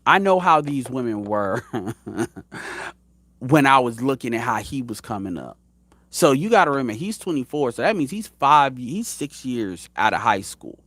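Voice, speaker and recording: Man in his thirties, host of a commentary show: blunt, profane, rambling, with a lively swinging pitch and a dry close sound. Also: moderate pace, dry recording. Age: 30s. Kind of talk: casual opinion commentary.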